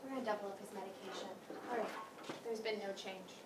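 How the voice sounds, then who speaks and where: A woman's voice: medium pitch, steady tone, fast talker, lightly acted. A woman in a staged play reading playing a stern, probing psychiatrist, firm and questioning. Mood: brisk, authoritative. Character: stern, probing female psychiatrist on night rounds. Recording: echoey room, distant mic, clear noise.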